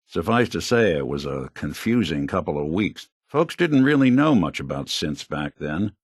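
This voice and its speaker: An actor playing a world-weary, kindly middle-aged android detective in a video game, gravelly and wry, with hard-boiled old-time slang. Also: male voice, low-pitched, dry recording, studio quality. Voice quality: gravelly, husky. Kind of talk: video game dialogue, film noir detective acting.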